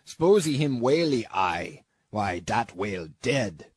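A man voicing a calm, terse harpooner who speaks in slow, clipped broken English. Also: medium pitch, husky, no room sound, full character voice.

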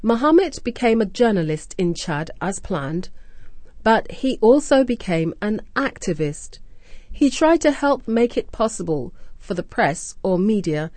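A woman radio presenter hosting a scripted language-learning programme, explaining words and phrases clearly and deliberately. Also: mid-range voice, sing-song, darkish tone, no echo. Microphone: close studio mic.

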